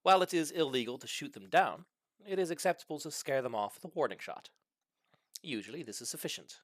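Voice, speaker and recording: A man's voice: on the high side, lively intonation, fast, no room sound, studio quality. A man reading a comic short story aloud with mock-serious, dramatic delivery. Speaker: story reader.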